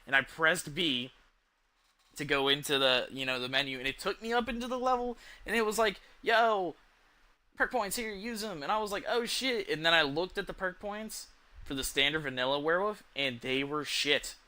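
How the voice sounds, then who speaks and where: A man's voice: on the high side, normal speed, pushed and bright. A young American man giving casual gameplay commentary as a streamer, rambling with slang and swearing over game music.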